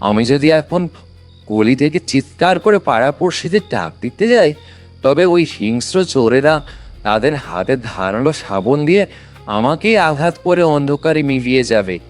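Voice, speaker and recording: A man playing a pious, shrewd, kindly old man in a dramatic cartoon story, speaking in a deliberate, theatrical way. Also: medium pitch, animated, soft background music, close to an everyday voice. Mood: anxious, praying. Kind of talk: dramatic animated moral story.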